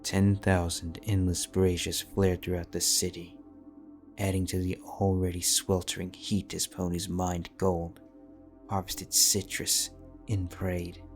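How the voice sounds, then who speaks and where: A man narrating a fantasy fanfiction audiobook in a measured, solemn manner. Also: low-pitched, level tone. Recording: soft background music.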